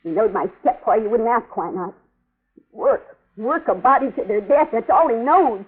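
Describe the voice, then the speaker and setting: A woman's voice: fairly high pitch, expressive, moderate pace, husky. A woman playing a tough, proud sixteen-year-old runaway farm girl in an old radio western, with a sassy rural Western American drawl. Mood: bitter. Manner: sassy, folksy, ungrammatical drawl. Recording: muffled, narrow-band old radio recording.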